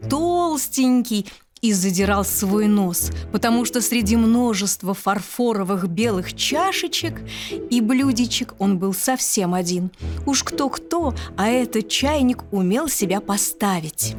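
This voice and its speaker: A woman narrating a children's bedtime story about household dishes in an expressive, playful way. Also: on the high side, measured pace. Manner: lively, playful. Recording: studio reading over music.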